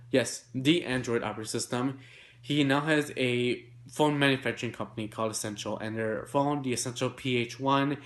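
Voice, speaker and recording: A man with a blocked, congested nose reads through technology news stories. He pushes to get through it fast but stays chatty, dropping in fillers and asides as he goes. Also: medium pitch, fairly bright tone. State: congested, nasal. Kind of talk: remote tech news segment.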